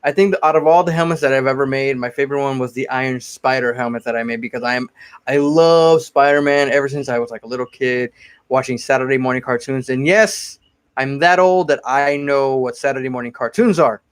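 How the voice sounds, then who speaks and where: A man's voice: mid-range voice, steady tone, fairly quick, smooth. Chatty man rambling on a live stream. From Connecticut, USA. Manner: chatty and rambling, often repeats himself. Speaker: cosplay prop maker answering viewer questions.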